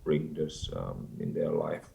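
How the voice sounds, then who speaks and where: Slow, deep-voiced man with hesitant speech. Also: sing-song, gravelly, dark tone, a little hiss or hum. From North Sumatra, Indonesia. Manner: hesitant, many pauses and fillers. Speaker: podcast guest. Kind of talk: casual interview answers.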